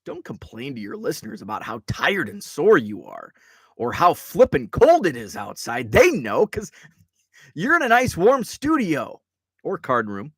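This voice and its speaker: A middle-aged male host chats loosely on his show, sounding tired and a little stuffed up. His voice swings up and down a lot as he rambles through restarts and tangents. The sound is dry and close, with no room echo. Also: medium pitch. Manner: rambling and chatty, with many restarts and asides. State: tired and congested. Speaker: host of a card-collecting show. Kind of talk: casual live-show chat. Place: card room.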